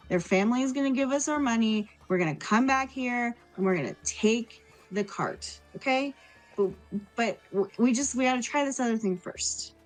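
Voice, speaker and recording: A woman's voice: fairly high pitch, natural voice, poor audio. A woman voicing an earnest, bossy but kindly female adventurer in a tabletop game, talking in an emphatic ramble.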